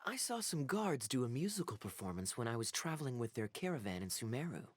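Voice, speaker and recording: An actor playing a suave, teasing young knight in a video game, with a smooth, wry, theatrical delivery. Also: male voice, medium pitch, very animated, quick pace, natural voice. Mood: relaxed, storytelling. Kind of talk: video game character dialogue.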